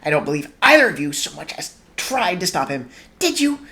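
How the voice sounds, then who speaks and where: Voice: mid-range voice, animated, moderate pace, close to an everyday voice. A reader voicing an exasperated young mother who snaps and hisses her retorts. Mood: fierce hiss. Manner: fierce, sharp retorts, hissed.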